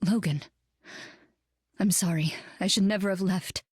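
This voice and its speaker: An actress playing a gentle, earnest psychic heroine in a game. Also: female voice, mid-range voice, a little slow, breathy edge, no room sound, studio quality. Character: warm psychic heroine woman.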